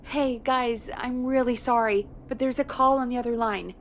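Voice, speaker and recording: An actress playing a friendly radio producer in a horror game, speaking in an earnest, chatty and practical way. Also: female voice, a little high, steady tone, normal speed, a little hiss or hum, natural voice. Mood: concerned, friendly. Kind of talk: dramatic video game voice acting.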